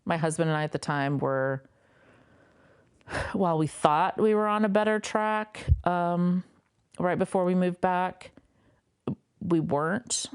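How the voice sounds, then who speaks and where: A woman guest sharing a personal story in a reflective, unhurried way, with frequent fillers. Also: fairly low pitch, smooth. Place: a home.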